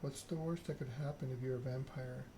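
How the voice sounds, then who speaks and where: A man's voice: medium pitch, steady tone, fairly quick, background noise. A man doing an ASMR read-aloud of a narrative game, occasionally stumbling over the written text. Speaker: gaming channel host.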